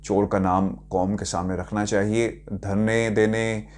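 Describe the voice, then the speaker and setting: Halting man giving news commentary. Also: lowish voice, flat delivery, darkish tone. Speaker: political commentator and video host. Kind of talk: news commentary to online viewers.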